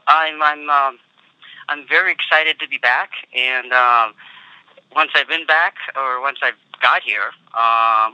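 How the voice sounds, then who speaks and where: A man interprets on a press conference call in a very bright, clean voice. He is hesitant and repeats his words as he goes. The line is dry and muffled like a lo-fi phone. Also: fairly high pitch, smooth, dry recording. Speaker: interpreter between Japanese and English. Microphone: telephone. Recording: dull lo-fi telephone conference line.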